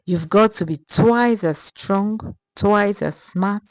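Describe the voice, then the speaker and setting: A woman narrator voicing a calm, firm father in a storybook tale, speaking in his steady, serious tone. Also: medium pitch, takes it slowly, no room sound.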